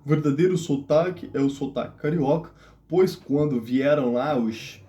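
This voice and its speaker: A man's voice: a little high, moderate pace, dark tone, natural voice. A man putting on a Rio de Janeiro accent to play a proud local insisting his accent is the original one. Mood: insistent. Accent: Rio de Janeiro (imitated).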